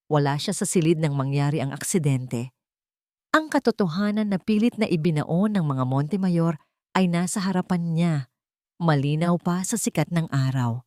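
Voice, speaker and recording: A woman with a Filipino accent narrating a scripted dramatic story in a measured, storytelling manner. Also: sits low, darkish tone, no room sound, studio quality.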